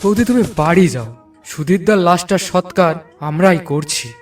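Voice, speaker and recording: Man as an earnest high-pitched village man. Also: normal speed, close to an everyday voice. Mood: commanding, consoling. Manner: earnest, urging. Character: concerned young village man who takes charge. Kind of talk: cartoon voice acting. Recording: slightly distant, music behind.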